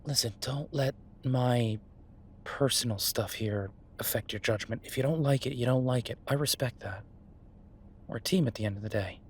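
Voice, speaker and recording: A man acts out an easygoing chef in an audio roleplay, speaking at a middling pitch. He is earnest and reassuring, chatting in halting, conversational phrases as if to a close partner. Noticeable ambient noise runs behind him. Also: lightly acted. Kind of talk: roleplay audio drama, conversational monologue to listener. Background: ambient sound effects.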